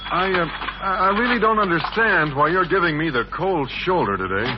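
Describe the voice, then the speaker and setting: An actor playing a shy, earnest, baffled American schoolteacher, hesitant and literal, in a 1950s radio sitcom. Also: male voice, high-pitched, expressive, moderate pace. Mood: bewildered. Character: shy, earnest, baffled man biology teacher. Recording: dull, noisy 1950s radio recording.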